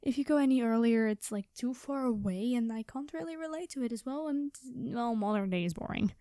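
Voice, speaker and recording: A woman plays a quirky female time traveler in an ASMR story roleplay. Her voice is high and close, with a dry sound free of room echo. She rambles cheerily and gets flustered and hesitant as she tries to cover up her secret. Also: fairly quick, dark tone, close to an everyday voice. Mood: cheery, chatty. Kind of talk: ASMR storytelling roleplay, one-sided conversation.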